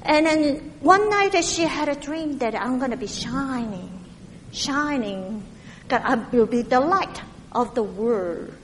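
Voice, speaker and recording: A woman from Korea with a heavy Korean accent, preaching a sermon in a halting way, with many fillers and restarts. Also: high-pitched, expressive, big-room sound, slight background noise.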